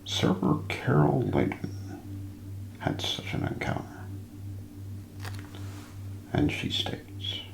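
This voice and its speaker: A man softly narrating mystery stories in an ASMR style, hushed and deliberate, with pauses. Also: mid-range voice, wildly swooping pitch, creaky, reverberant, slight background noise, low-quality recording. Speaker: ASMR narrator hosting a mystery show roleplay. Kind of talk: soft ASMR scripted narration of ghost stories.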